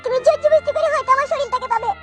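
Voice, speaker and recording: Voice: very high voice, rapid-fire, fairly bright tone, soft background music. A performer voicing a tearful, resentful young woman forced to be a bride. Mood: tearful, bitter. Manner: reproachful, dramatic. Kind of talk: melodramatic comic cartoon dubbing.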